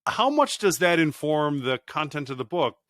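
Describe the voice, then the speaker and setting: A man's voice: a little high, big pitch swings, moderate pace, a bit bright, dry recording, studio quality. A man acting as interviewer on a podcast who gives praise and stammers at the start of his sentences. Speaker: guest host, leadership coach.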